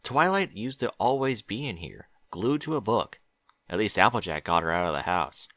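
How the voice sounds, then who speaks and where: Young boy dragon helping at the library, matter-of-fact, bright voice, read by a guy. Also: on the low side, big pitch swings, moderate pace, no room sound, studio quality.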